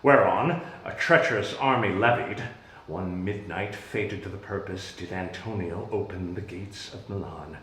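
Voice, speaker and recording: Man acting a wronged exiled duke, bitter, commanding, Shakespearean stage delivery, very slow, echoey room. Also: deep voice, fairly bright tone. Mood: grave, resentful. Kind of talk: Shakespearean dramatic reading.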